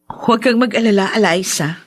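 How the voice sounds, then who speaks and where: A woman's voice: mid-range voice, fairly quick, soft background music, lightly acted. A woman playing a hurt but resolute grown daughter in a radio drama, speaking earnestly and with feeling. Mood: calm, conciliatory. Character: wounded grown daughter, resolute, regretful and forgiving. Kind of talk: Tagalog radio drama acting.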